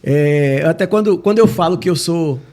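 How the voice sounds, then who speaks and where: A man with a Northeastern Brazilian accent from Recife, answering interview questions in a reflective, halting, conversational way. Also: fairly high pitch, darkish tone. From Recife, Pernambuco, Brazil. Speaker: podcast guest, judge and law professor.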